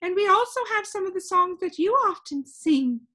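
Woman acting a fussy imperious lady, children's storybook character, very high pitch, swooping intonation, commanding. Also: sing-song, normal speed. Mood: proud explaining. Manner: exclamatory, theatrical, commanding. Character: bossy, fussy, imperious woman keeper of sounds.

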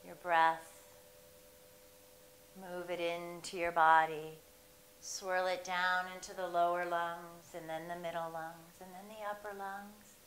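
A woman leads a guided meditation in a low, unhurried voice. She stretches her phrases out and leaves long pauses between them. The recording has a roomy echo and a faint hiss. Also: little pitch movement, fairly bright tone. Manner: slow, soothing, drawn-out phrasing with long pauses. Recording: echoey room with faint hiss.

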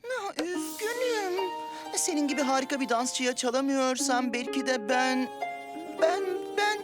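A woman's voice: high-pitched, lively intonation, unhurried, loud music behind the voice. A woman voicing a sweet, self-doubting young DJ in a children's cartoon, hesitant and modest. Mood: apologetic. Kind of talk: Turkish children's cartoon dub.

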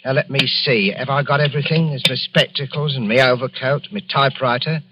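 A man's voice: mid-range voice, fairly even intonation, brisk, background noise, natural voice. Casting: male actor as meek, odd lodger with a sinister streak, hesitant, repetitive, radio mystery, dull lo-fi sound. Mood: flustered, secretive. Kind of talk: old-time radio mystery acting. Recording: dull, lo-fi old radio recording.

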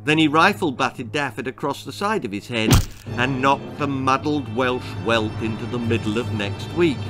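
Man in his 60s, dramatic story narration, mid pitch, highly animated, slight music behind. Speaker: author reading his own story. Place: small book-lined room indoors.

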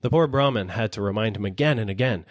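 A man narrating a children's fable as an expressive audiobook reader. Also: fairly low pitch, fast talker, dry recording, studio quality. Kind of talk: expressive scripted storybook narration.

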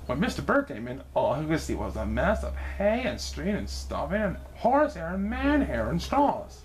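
A woman reading a children's story aloud in an expressive narrating style, with noise behind her. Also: low-pitched, moderate pace. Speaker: storybook reader.

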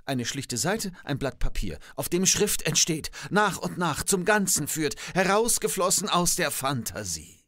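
A man's voice: fairly high pitch, sing-song, a little slow, a bit bright, no echo, heavily acted. A man playing an old-fashioned, technology-skeptical professor in a scripted satirical dialogue, pedantic and theatrical. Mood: skeptical, nostalgic.

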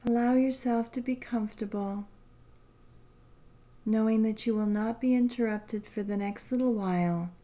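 A woman guiding a meditation in a gentle, soothing, unhurried way, leaving long pauses between phrases. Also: on the high side, fairly even intonation. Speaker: meditation guide. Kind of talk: guided meditation narration.

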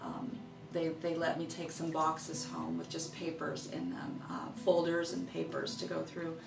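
A woman's voice: deep voice, level tone, unhurried, creaky. A middle-aged woman speaking casually in an interview about her own work. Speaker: author of a local history book, interviewee. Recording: echoey, distant mic, loud music underneath. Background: guitar music.

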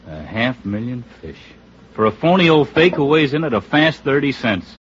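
A man's voice: medium pitch, sing-song, moderate pace, breathy edge. An actor playing a flippant, slangy man with a breezy, sarcastic manner in a 1950s radio drama. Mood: scornful. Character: flippant, slangy man, a cheerful self-declared coward. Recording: muffled, noisy 1950s radio recording.

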